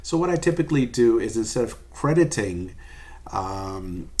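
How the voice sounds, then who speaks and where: A man with a fairly low voice delivers a recorded lecture in the role of a professor. He explains methodically, one step after another, leaning on the important words as he teaches. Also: big pitch swings, moderate pace, a little mellow. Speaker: accounting professor.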